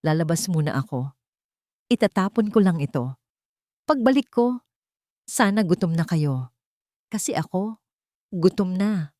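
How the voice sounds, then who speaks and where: Woman narrator acting unafraid young nanny, Filipino accent, gentle, matter-of-fact, soft casual murmur, lively intonation, audiobook drama. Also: lowish voice, unhurried, long pauses, no room sound, studio quality. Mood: calm, casual. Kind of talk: audiobook character dialogue.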